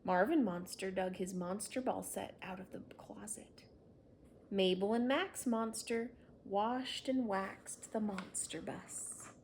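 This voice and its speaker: A woman's voice: medium pitch, slow pace, a little mellow, a little hiss or hum. A woman reading a children's story aloud, narrating expressively and without hurry. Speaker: storybook reader.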